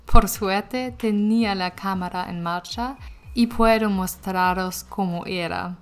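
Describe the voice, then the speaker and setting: A woman, a native German speaker, narrating a vlog in a smooth scripted voice-over. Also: mid-range voice, slow pace. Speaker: vlogger narrating.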